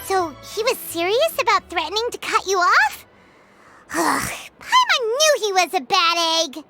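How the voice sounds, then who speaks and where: A tiny floating fairy girl, with a piercingly high, bouncy voice that leaps all over the place. She is chirpy and blunt and calls herself by name instead of saying "I", in video game dialogue over lively game music. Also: measured pace, heavily acted. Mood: outraged. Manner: refers to herself by name in the third person.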